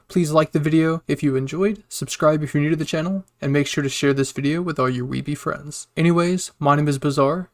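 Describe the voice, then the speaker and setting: Higher-voiced man narrating, creaky. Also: a little mellow. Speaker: narrator, channel host. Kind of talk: scripted story narration, ASMR roleplay reading.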